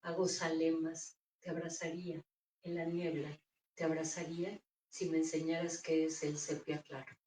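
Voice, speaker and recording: A woman reading her own poems aloud in a measured, deliberate recital at a bookstore. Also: low voice, level tone, darkish tone, distant mic, a little hiss or hum. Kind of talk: poetry reading. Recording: echoey room, voice off-mic.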